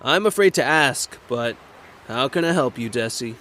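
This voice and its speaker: A man's voice: mid-range voice, animated, normal speed, sharp tone. A man playing an awkward, guarded teenage boy who is hesitant and defensive, in an audio drama. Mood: wary. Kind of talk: audio drama character acting.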